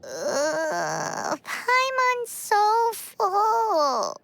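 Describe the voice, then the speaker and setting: A woman voicing a tiny, cheeky, childlike fairy companion in a video game, squeaky and blunt. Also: wildly swooping pitch, extremely slow, gravelly, fairly bright tone, a little hiss or hum, exaggerated voice. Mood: stuffed, groaning.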